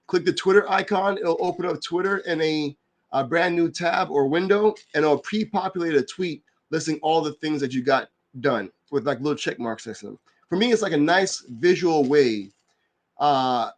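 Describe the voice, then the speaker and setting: Man, slightly nasal, recovering from a cold, relaxed rambling livestream host, somewhat high voice. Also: normal speed. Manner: relaxed, filler-heavy, rambling. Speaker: livestream host and developer advocate. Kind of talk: casual explanatory livestream talk.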